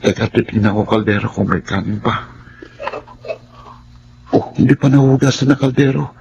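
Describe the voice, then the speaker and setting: An actor playing a meek, mistreated teenage nephew with a Filipino accent in a radio drama, plaintive and polite. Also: male voice, mid-range voice, moderate pace, creaky, muffled recording, noisy background, natural voice. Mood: sorrowful. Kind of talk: Filipino radio drama acting with inner monologue.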